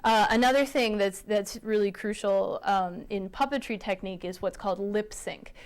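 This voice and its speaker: A woman's voice: medium pitch, normal speed. A woman speaking as a guest puppeteer, explaining and demonstrating in a hesitant, stop-start way. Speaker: guest puppeteer and theatre director.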